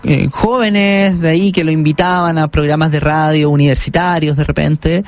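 A man's voice: on the high side, steady tone, moderate pace, no room sound. A man, a book author talking as a guest in an interview, hesitant with many restarts and fillers. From Chile. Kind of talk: casual interview conversation.

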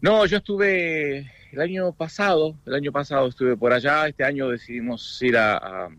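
An Argentine man with an Argentine Spanish accent, a guest talking casually over a video call, halting with many restarts and repeated words. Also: a little high, fairly bright tone, no room sound. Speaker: guest, food business owner in the US. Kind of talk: casual interview chat.

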